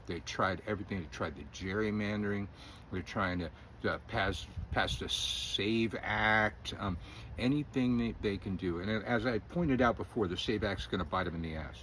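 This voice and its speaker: Middle-aged male commentator, lowish voice, opinionated and rambling, faint hum behind. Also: moderate pace, fairly bright tone. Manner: rambling, opinionated, chuckles often, hesitant fillers. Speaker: independent news commentator. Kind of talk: casual political commentary.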